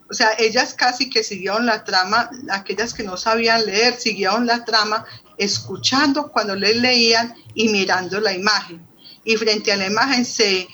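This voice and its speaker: A woman's voice: mid-range voice, sharp tone, slight background noise, poor audio. A woman with a Colombian accent speaking as an interview guest, recounting her experience conversationally and often rephrasing as she goes. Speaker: guest, professor.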